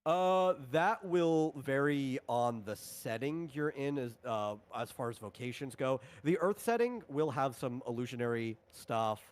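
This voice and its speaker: A man with a mid-pitched voice hosts a casual tabletop game session. He explains the rules conversationally, halting and rewording his points as he goes. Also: animated, clean tone.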